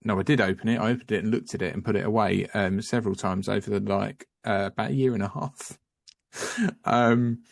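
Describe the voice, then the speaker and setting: A man's voice: lowish voice, steady tone, fairly quick, gravelly, dry recording. A man talking as a guest on a casual video call, rambling and often restarting his sentences, sometimes apologizing for going on. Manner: rambling, self-interrupting, apologetic. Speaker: guest, home-educating parent.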